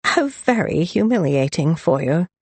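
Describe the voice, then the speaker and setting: A woman's voice: lowish voice, sing-song, unhurried, husky, no room sound, voice pushed up, full character voice. A woman voicing a sulky, drunken young aristocratic brother in an audiobook, taunting with a slurred drawl. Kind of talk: dramatised audiobook character voice.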